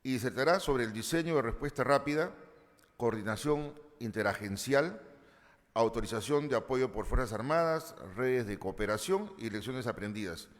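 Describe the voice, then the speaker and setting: A man introducing a conference session in a formal, measured, ceremonious way, with the odd filler. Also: mid-range voice, animated. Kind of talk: formal prepared address. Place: large conference hall. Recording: long hall reverb.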